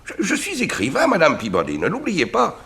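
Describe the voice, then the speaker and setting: An actor playing a courteous, earnest writer with an urbane manner in a 1960s French radio drama. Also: male voice, a little high, brisk, creaky, heavily acted. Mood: earnest, reassuring. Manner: polite, urbane, expressive. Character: courteous, earnest gentleman writer, patient with his housekeeper.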